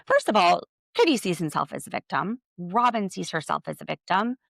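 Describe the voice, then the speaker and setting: A woman's voice: lowish voice, very animated, brisk, gravelly, no echo, clean studio sound. A woman hosting a podcast, giving a solo recap of a TV show in a blunt, opinionated way with sarcastic asides. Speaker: podcast host.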